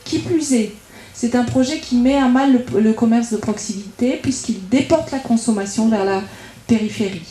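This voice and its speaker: Echoey, mellow higher-pitched woman giving a political speech. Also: normal speed. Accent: French from France. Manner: argumentative, methodical, enumerating points. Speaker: local political campaigner speaking at a debate. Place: indoor meeting room at public debate. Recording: echoey room at public meeting.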